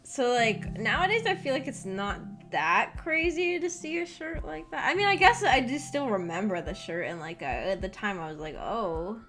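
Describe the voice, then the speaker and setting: High-pitched young woman, chatty streamer. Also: moderate pace, hardly any pauses. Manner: giggly, animated storytelling with frequent "like". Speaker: streamer hosting a visual novel book club. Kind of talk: casual livestream chat, rambling personal anecdotes. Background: background music.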